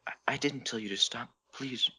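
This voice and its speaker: A man's voice: mid-range voice, normal speed, sharp tone, a little hiss or hum, voice pushed up. Man putting on a whiny, stammering shy young man. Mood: flustered, pleading. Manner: stammering, pleading. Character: young man, shy, whiny, embarrassed, pleading lover in fanfiction.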